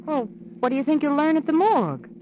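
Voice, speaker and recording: A woman's voice: high voice, very animated, quick pace. An actress playing a sleuth's uneasy, dryly witty female companion in an old-time radio mystery, genteel in manner. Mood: wry, curious. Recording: muffled, noisy old radio recording.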